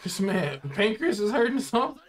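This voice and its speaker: Animated, higher-voiced young American man. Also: fast talker. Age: young adult. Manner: slangy, animated banter. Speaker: reaction video host. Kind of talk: casual reaction commentary. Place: small indoor room.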